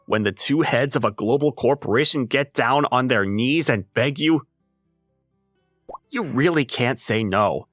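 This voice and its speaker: Level-headed teenage boy, acted by a man, medium pitch, wry, casual, manga dub narration, dry sound, faint music. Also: fairly bright tone, no echo. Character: ordinary, level-headed high school boy, wryly modest narrator. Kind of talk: scripted first-person story narration and dub acting.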